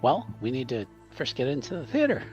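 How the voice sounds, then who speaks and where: Very animated man, slightly muffled sound. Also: medium pitch, soft background music. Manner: halting, repeats words. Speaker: tabletop roleplaying player. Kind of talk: casual chat.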